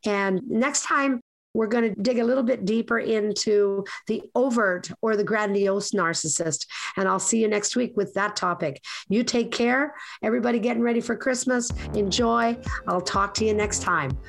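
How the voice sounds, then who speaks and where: A raspy, hoarse woman hosts her podcast, talking through advice in an earnest, meandering way. Her pitch sits in a middling range. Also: normal speed, faint music behind. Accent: Canadian. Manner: earnest, lecturing, rambling. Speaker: podcast host. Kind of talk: casual advice talk.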